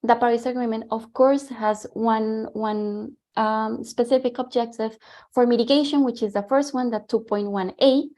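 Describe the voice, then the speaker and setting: A woman from Colombia with a Colombian Spanish accent, a native Spanish speaker giving a guest lecture over a video call. She explains briskly while she shares her slides, with frequent ums. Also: a little high, steady tone, mellow. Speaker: guest lecturer, former climate negotiator.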